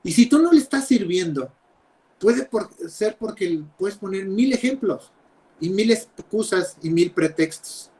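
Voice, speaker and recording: A young man preaching a sermon over a video call, emphatic, with loud stresses and quiet drops. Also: high-pitched, lively intonation, unhurried, low-quality recording. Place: home, speaking over videoconference.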